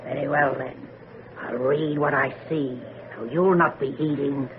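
An actress playing an old country herbalist and fortune-teller, ominous and sharp-tongued, with rustic old-fashioned speech in a radio drama. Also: female voice, a bass voice, fairly even intonation, unhurried. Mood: stern, foreboding. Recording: noisy, tinny old radio recording.

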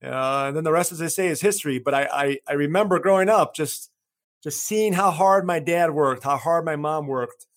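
A man's voice: fairly high pitch, moderate pace. A man in his thirties who grew up in Chicago speaking Greek first, telling his story as an interview guest in an earnest way, often restarting and repeating phrases. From Chicago, USA. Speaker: marketing agency owner, guest.

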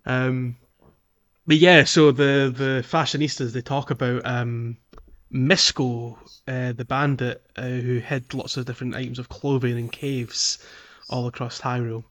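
A man with a mid-range voice co-hosts a gaming podcast. He talks casually and explains things haltingly, restarting his sentences as he goes. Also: medium pitch, flat delivery, fairly bright tone. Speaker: podcast co-host. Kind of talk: casual chat about a video game.

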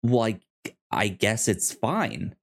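Halting man co-hosting a casual podcast. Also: fairly low pitch, huge pitch swings, gravelly. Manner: halting, repeats words and trails off. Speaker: podcast co-host. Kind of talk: casual TV show discussion.

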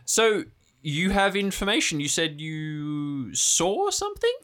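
Bright, animated man playing a tabletop game. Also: on the high side, takes it slowly. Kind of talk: casual improvised play.

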